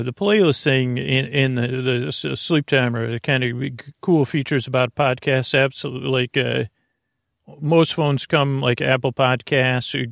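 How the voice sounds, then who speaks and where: Guy rambling a sleepy podcast intro, gravelly creaky voice, halting and muttery, really dry close sound. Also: medium pitch, fairly bright tone, no room sound. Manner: meandering, halting, muttering delivery. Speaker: podcast host.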